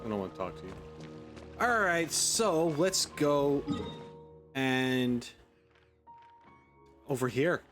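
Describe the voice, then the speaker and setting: A male streamer commentating casually over a game. His mid-pitched voice swings around a lot as he jokes sarcastically, and game music plays clearly behind him. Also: animated, slow pace, pauses often, a bit bright. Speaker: gaming streamer.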